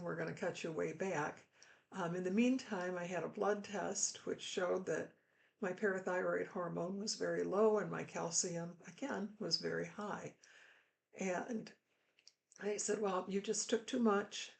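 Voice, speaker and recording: A middle-aged woman pastor, hoarse after throat surgery, giving a candid, chatty and reassuring health update to viewers. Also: fairly low pitch. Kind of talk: casual health update vlog.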